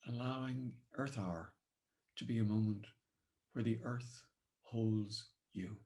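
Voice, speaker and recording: An Irish man with an Irish accent leading a guided meditation in measured, solemn, poetic phrases. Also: on the low side, darkish tone. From Ireland.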